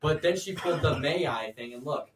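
A man's voice: fairly high pitch, animated, brisk, a little hiss or hum. A teenage boy in casual chat, explaining his view earnestly with hesitant restarts. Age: teens. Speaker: podcast co-host. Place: classroom at a table, indoors. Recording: roomy classroom, mic at a distance.

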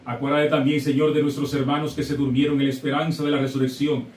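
A middle-aged man with a Dominican Spanish accent, a priest leading Mass in solemn, measured liturgical prayer. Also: medium pitch, little pitch movement, darkish tone. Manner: solemn, measured liturgical recitation, also saying the people's responses. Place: small studio before a backdrop. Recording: roomy, slightly distant mic with faint hiss.

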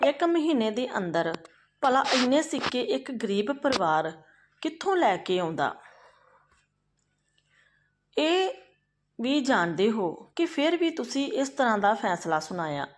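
Brave, respectful Brahmin man from a folk tale, firm reasoner, played by a woman with a highish pitch. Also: sing-song, a little slow, long pauses. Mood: firm, reasoning. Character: bold, humble Brahmin man reasoning with a king.

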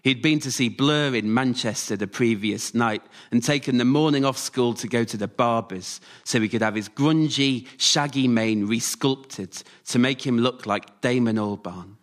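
A man performing a scripted autobiographical story live, delivered in measured short phrases. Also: mid-range voice. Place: radio theatre stage, before a live audience.

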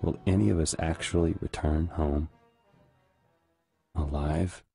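An actor playing a weary, haunted young American soldier, narrating a war game in a brooding, measured way. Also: male voice, extremely low pitch, fairly even intonation, creaky, dark tone, faint music behind, full character voice.

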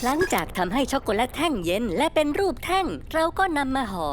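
A woman's voice: high-pitched, big pitch swings, quick pace, very noisy. A woman voicing a cheerful female chocolate bar in a cartoon dub, sweet and bubbly as she explains things step by step. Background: cartoon music.

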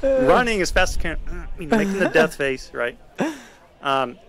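A man telling a personal story in a casual, animated way on a podcast. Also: on the high side, moderate pace, a crowd in the background.

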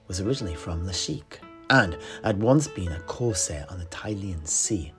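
A man telling a fantasy tale in character, theatrical and measured, with dramatic pauses and stresses. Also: low voice. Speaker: storyteller, in-character tavern keeper. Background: plucked-string music.

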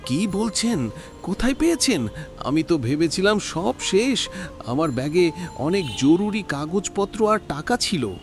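A man voices a grateful, wealthy businessman in a cartoon. His highish voice swings dramatically up and down, effusive and emphatic, with music behind it. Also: sing-song, measured pace, exaggerated voice. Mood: astonished.

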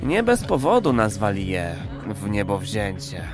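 A man's voice: on the low side, huge pitch swings, unhurried, over music. An actor playing a crude, cocky, streetwise man in an audio drama, talking in chummy, vulgar slang. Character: brash, crude, streetwise male regular of a pleasure institute.

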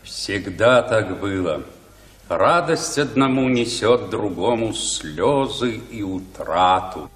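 Slow, sombre, low-voiced countryman. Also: lively intonation. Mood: mournful, foreboding. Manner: mournful, measured verse declamation. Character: sombre, brooding, fatalistic countryman. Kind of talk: verse radio play acting. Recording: echoey hall with long reverb.

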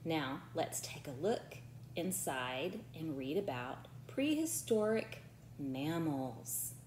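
A woman's voice: deep voice, very animated, takes it slowly, a little mellow. A woman in her forties, a teacher reading a picture book aloud to young children in an encouraging way, with chatty asides. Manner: encouraging, addresses unseen children with questions. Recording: roomy echo, faint background noise.

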